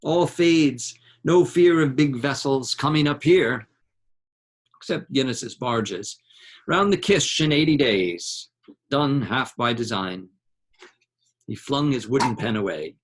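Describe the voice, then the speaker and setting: A man reading a literary novel aloud in a measured, dramatic way, with deliberate pauses between short phrases. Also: mid-range voice, expressive.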